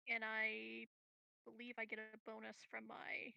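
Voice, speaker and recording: A woman's voice: on the high side, completely flat delivery, a little slow, gravelly, clear, resonant tone, no room sound, highs cut off. A woman chatting casually as a player in an online tabletop game, tentative and thinking aloud.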